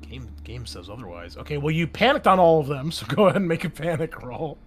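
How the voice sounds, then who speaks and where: A man's voice: on the high side, big pitch swings, fairly quick. American man running a tabletop game. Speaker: game master. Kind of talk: improvised tabletop game narration with casual table talk.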